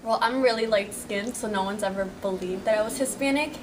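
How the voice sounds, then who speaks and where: A woman's voice: mid-range voice, brisk. Casual young woman, distant and noisy. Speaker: interviewee. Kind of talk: casual testimony. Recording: distant mic with noise.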